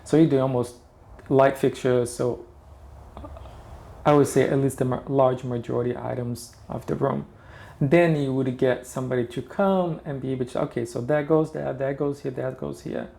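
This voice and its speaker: A man's voice: mid-range voice, fairly even intonation, normal speed, creaky, mellow. A middle-aged man from Brazil with a Brazilian Portuguese accent, chatting as a guest and often restarting his sentences. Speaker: interior designer guest. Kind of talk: casual interview chat.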